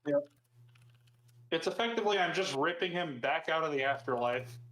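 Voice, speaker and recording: A man's voice: a little high, sing-song, moderate pace, fairly bright tone. A man playing a tabletop roleplaying game, talking casually as he describes his character's actions. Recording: roomy, echoing sound.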